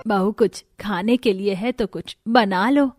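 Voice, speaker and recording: A woman's voice: medium pitch, measured pace, a little mellow, faint music behind, close to an everyday voice. A woman voicing a protective, fretful mother-in-law of a poor family in a cartoon story. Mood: worried. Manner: cautious, fretful.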